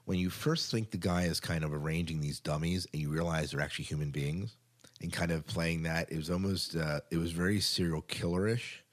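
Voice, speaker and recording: A man with a very deep, low-pitched voice co-hosts a podcast. He chats casually about television news. He leans on key points by repeating words for emphasis. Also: steady tone, fairly quick. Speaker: podcast co-host.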